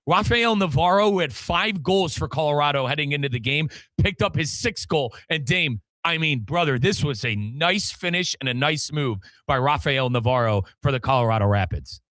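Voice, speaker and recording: A man's voice: on the high side, sharp tone, dry recording. An American man hosting a sports show, recapping matches in an emphatic, colloquial way and speaking straight to listeners and his co-host. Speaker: sports show host and radio play-by-play commentator.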